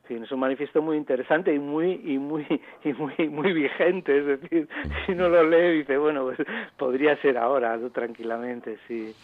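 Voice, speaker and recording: A man with an accent from Spain answering as an author in a radio interview, explaining in a halting, repetitive and digressive way. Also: a little high, steady tone, phone-quality sound. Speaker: author interviewed about own book.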